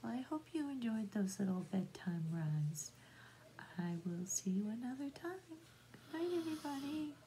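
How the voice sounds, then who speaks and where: A middle-aged woman reading bedtime nursery rhymes aloud to young children in a soft, singsong, drawn-out way. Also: on the high side, big pitch swings, poor audio. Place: small room at home, indoors. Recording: noticeable background room noise.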